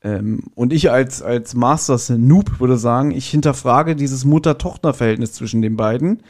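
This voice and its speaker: Mellow-voiced man chatting. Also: medium pitch, moderate pace. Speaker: podcast guest. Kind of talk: casual chat, reviewing an audio drama.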